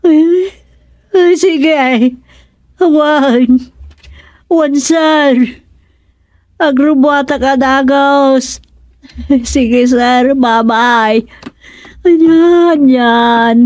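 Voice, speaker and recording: A woman's voice: high voice, drawn-out words, pauses often, a little mellow, no echo. An actress with an Ilocano accent playing a fussy, anxious middle-aged mother-in-law in a radio comedy drama. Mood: flustered. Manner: exclaiming, fussing.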